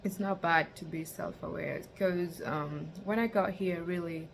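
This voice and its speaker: A woman's voice: fairly low pitch, steady tone, a little slow, vocal fry, a little hiss or hum. A young woman from Kenya, vlogging in a casual, rambling way with lots of fillers. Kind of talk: casual talk to camera.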